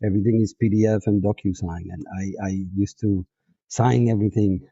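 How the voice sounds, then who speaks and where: Colombian-accented guest, a man, lowish and very warm, reflective and chatty, dry close audio. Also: fairly even intonation, brisk, breathy edge, no room sound. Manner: reflective, frequent restarts and fillers, laughs easily. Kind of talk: casual interview chat. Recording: dull, muffled audio.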